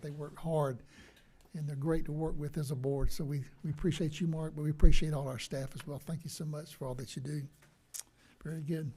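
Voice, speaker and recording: Male chair, soft and mellow, steady procedural delivery. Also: a little high. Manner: soft-spoken, formal procedural phrasing. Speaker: board chairman. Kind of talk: chairing a public meeting. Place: county meeting hall. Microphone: desk microphone.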